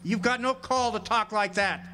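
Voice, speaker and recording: An actor playing a wry, defiant war-veteran drifter who also narrates a hardboiled radio drama. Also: male voice, high-pitched, moderate pace, background noise, close to an everyday voice. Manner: wisecracking, hardboiled. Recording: live amphitheater stage, music behind.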